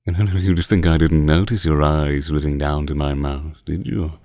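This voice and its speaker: A man's voice: extremely low pitch, level tone, dark tone. A man playing a seductive, possessive ancient vampire in an intimate audio roleplay, commanding and teasing, sometimes sinking into whispers. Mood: brooding, seductive. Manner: slow, teasing, commanding, breathy drops into whispers. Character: seductive, predatory male vampire, ancient but youthful-looking, possessive.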